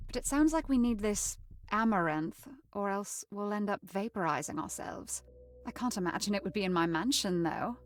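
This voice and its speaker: A woman's voice: mid-range voice, animated, moderate pace, no echo, natural voice. Prim, haughty young lady, played by a woman, close and dry. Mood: thoughtful explaining. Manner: prim, wry, articulate.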